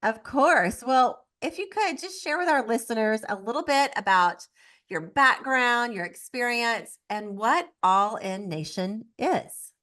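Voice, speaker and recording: A woman's voice: a little high, expressive, unhurried, bright, forceful tone. A woman hosting a business podcast, opening the show and prompting her guest with short encouraging replies. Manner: upbeat, encouraging, brief affirmations. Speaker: podcast host. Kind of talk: scripted show intro and interviewing.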